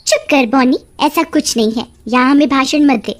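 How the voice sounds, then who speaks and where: Woman as shrill, taunting cartoon schoolgirl bully. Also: moderate pace, close to an everyday voice. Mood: dismissive. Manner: taunting, dismissive. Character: mocking, haughty schoolgirl bullies. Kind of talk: animated cartoon story dialogue.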